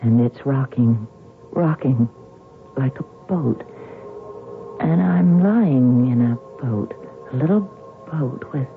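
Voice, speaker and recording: A haunted, wistful woman in a radio drama, over a thin, band-limited recording with prominent music. She speaks slowly and dreamily, halting and dwelling on her words. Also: very deep voice, animated, vocal fry, phone-quality sound, full character voice. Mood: dreamy, haunted.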